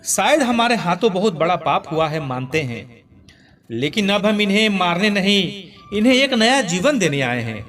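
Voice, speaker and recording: A man's voice: sits high, big pitch swings, normal speed, a bit bright, recorded from a distance, soft background music. A man voicing a repentant daughter-in-law in a moral story, humble and pleading. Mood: remorseful pleading.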